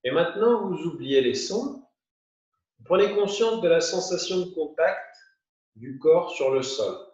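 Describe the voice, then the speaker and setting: Slow-speaking man guiding meditation, roomy sound. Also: on the high side, expressive, darkish tone. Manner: unhurried, pausing between short phrases. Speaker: yoga nidra teacher. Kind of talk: guided meditation, spoken instructions. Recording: muffled, roomy, distant from the mic.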